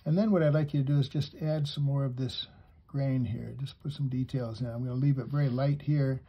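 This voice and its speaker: A man giving an unhurried, step-by-step drawing lesson for kids, talking as he draws and pausing mid-sentence, with a husky voice. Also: mid-range voice, fairly even intonation, muffled recording. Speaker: drawing teacher.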